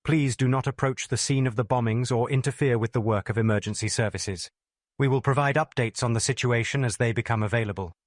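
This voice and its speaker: A man's voice: mid-range voice, dry recording. Man, formal emergency TV announcer, dry studio sound. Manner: formal, measured. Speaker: television continuity announcer. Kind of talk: scripted emergency news announcement.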